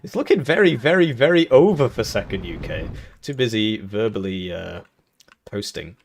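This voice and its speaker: A man's voice: lowish voice, expressive, normal speed, vocal fry, a little hiss or hum. Rambling, joking male stream caster. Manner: rambling, joking, chuckling between remarks. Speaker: tournament host and caster. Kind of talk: casual live-stream game commentary.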